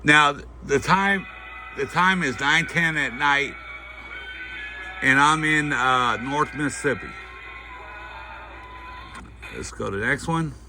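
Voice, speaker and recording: A man giving a casual, unscripted product demonstration, talking in a halting, folksy way as he thinks aloud. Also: a little high, pushed and bright, soft background music. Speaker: host of a gear review channel. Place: indoors at home at night. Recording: faint background noise.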